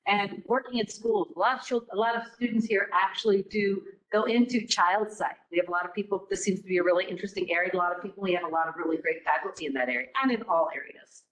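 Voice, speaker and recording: A woman's voice: medium pitch, quick pace, hardly any pauses, fairly bright tone, poor audio. A middle-aged woman, a program director, giving an informal, encouraging talk to students in a classroom without a mic, asking many rhetorical questions. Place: classroom with a live audience. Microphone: no mic on, room recording.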